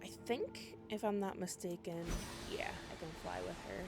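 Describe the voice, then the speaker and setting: A woman's voice: lowish voice, moderate pace, vocal fry. A woman doing casual live gameplay commentary as a streamer, chatty and thinking aloud. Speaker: game streamer. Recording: loud game music behind. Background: video game music and dialogue.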